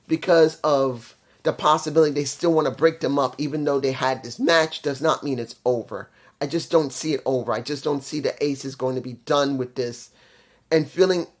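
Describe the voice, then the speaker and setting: Congested, nasal man with a slightly high voice, casual rambling wrestling reviewer. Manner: rambling, with restarts and stumbles.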